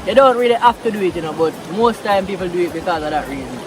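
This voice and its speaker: Relaxed Jamaican vlogger, a man with a high voice and a Patois accent, filmed outdoors by a river with noisy water behind. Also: quick pace. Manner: relaxed, chatty, mixing Patois into English. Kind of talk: casual vlog talk outdoors.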